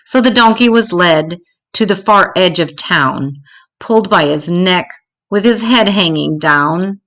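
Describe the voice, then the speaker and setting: An older woman storyteller reading a children's story aloud in an expressive, measured way. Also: mid-range voice.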